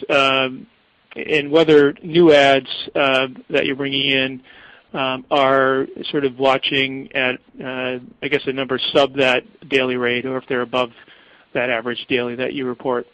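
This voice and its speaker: A man's voice: medium pitch, level tone, unhurried, a bit bright, no echo, low-quality recording. A man, a financial analyst, asking winding, hesitant questions on an earnings call.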